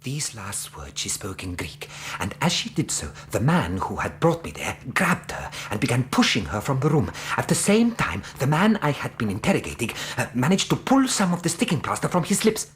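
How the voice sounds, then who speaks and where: A fussy, earnest middle-aged interpreter from Greece in a radio play. His pitch sits in the middle but swings widely as he recounts things in formal, precise phrases. There is faint noise and ambience behind him. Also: normal speed, few pauses. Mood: indignant, dramatic. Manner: formal, precise, animated storytelling. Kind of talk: radio drama narration and dialogue.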